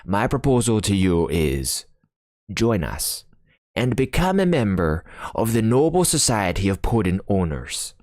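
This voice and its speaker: A man voicing a rough, hearty sailor from a storybook, speechifying in salty talk with dropped g's. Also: on the low side, big pitch swings, unhurried, darkish tone, no room sound, studio quality. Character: rough, hearty old-fashioned sailor, bossy and chummy.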